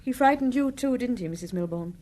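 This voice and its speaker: An actress playing a detective's inquisitive, sympathetic wife in a radio drama, curious and expressive. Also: female voice, fairly high pitch, brisk.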